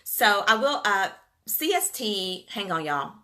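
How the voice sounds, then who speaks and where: A woman with a Southern US accent chats to her livestream viewers in a folksy, talkative way. Her voice is bright and forward, with an easy, homespun flow. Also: mid-range voice, big pitch swings, slow pace. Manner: chatty, folksy, addresses viewers as "you guys" and "y'all". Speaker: livestream host, daycare business coach. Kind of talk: casual livestream chat to viewers. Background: Gulf Coast.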